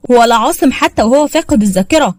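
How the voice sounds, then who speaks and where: A woman's voice: a little high, lively intonation, fast talker, darkish tone, dry recording, studio quality, voice pushed up. A woman with an Egyptian accent voicing a bossy, worried older mother who scolds firmly. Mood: scolding. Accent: Egyptian Arabic. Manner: scolding, firm.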